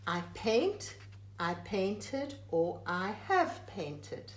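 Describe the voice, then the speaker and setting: A woman reads a rhyming picture book aloud in an echoey room. Her delivery is very slow and deliberate, broken phrase by phrase. The pitch swings widely as she leans on words for dramatic emphasis. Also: big pitch swings, a little hiss or hum.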